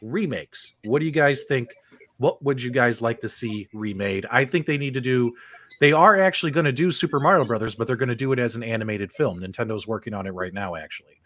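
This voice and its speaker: A man's voice: mid-range voice, a little fast, a bit bright. A man hosting a gaming talk livestream, chatting casually with a panel and viewers.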